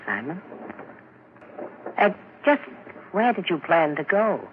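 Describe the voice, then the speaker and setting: An actor playing a small, dark stranger who was once blind, speaking in soft, knowing tones in a 1940s radio drama. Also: male voice, sits high, sing-song, slow pace, heavily acted. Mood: knowing, eerie. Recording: muffled 1940s radio broadcast, hiss.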